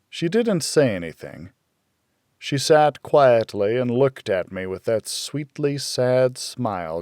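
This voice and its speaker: A man narrating a first-person thriller audiobook in a deliberate, brooding, dramatic storytelling manner. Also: mid-range voice, darkish tone, dry recording, clean studio sound.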